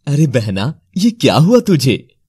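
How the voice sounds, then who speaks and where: A man voicing a troubled, dramatic nobleman in an animated fairy tale, with a slightly husky voice. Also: on the high side, measured pace, background noise. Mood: concerned.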